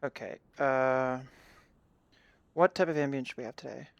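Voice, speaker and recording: A man's voice: medium pitch, moderate pace, creaky, smooth, muffled recording. A man streaming in virtual reality, chatting offhand and joking at his own expense on a headset mic. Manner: offhand, self-deprecating, joking. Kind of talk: casual livestream chat. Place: home, playing in virtual reality.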